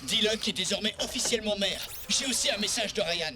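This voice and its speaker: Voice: mid-range voice, brisk, background noise, close to an everyday voice. An actor in a French cartoon dub voicing a teasing, matter-of-fact sidekick who delivers reports.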